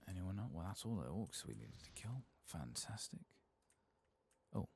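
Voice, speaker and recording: A man giving soft-spoken, partly whispered ASMR commentary over a video game, murmuring and thinking aloud in an unhurried way. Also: low voice, big pitch swings, creaky, slight background noise. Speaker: ASMR gaming streamer.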